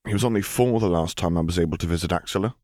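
A man's voice: low voice, rapid-fire, a little mellow, no echo, clean studio sound, close to an everyday voice. Man playing a stiff, formal, uneasy admiral. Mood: uneasy, hesitant. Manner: proper, wry, hesitant. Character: stiff, formal, anxious middle-aged male naval admiral.

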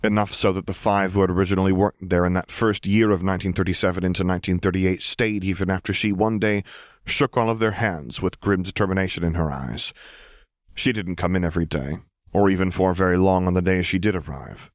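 A man reading scripted fiction as an audiobook narrator, in a measured, dramatic storytelling manner. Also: low-pitched, steady tone, dry recording, studio quality.

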